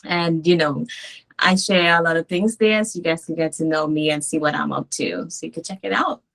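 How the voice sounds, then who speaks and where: Low-voiced woman, relaxed. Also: normal speed, vocal fry. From Trinidad and Tobago. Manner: easygoing, chuckles often, many filler ums. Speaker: guest, domestic violence coach. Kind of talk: casual interview chat. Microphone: video call.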